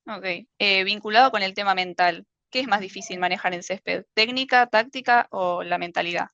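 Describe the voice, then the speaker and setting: A woman's voice: mid-range voice, normal speed, creaky, fairly bright tone, no echo. Woman interviewer, dry muffled sound. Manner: brief, asks short direct questions. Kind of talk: interview questions. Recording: dry, slightly muffled audio.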